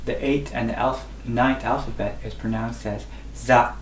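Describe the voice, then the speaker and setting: A man's voice: medium pitch, fairly even intonation, measured pace, echoey room, slight background noise, poor audio. A man whose first language is Burmese, teaching a language lesson and patiently repeating each sound three times. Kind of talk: slow instructional lesson.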